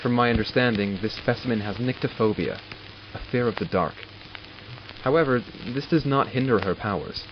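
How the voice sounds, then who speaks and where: An arrogant, exasperated doctor in an audio drama, played by a man. He has a medium-pitched voice and talks in a clinical, sardonic way, often as if to himself. The sound is dull, with clear background noise. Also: normal speed, natural voice. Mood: clinical, detached. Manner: clinical, sardonic, self-talking. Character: arrogant, exasperated male doctor studying supernatural captives. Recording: muffled, with loud background noise.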